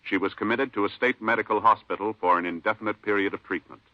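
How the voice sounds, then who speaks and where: Low-pitched American radio announcer, a man, formal. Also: steady tone, fast, noisy background. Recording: muffled vintage radio recording. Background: music.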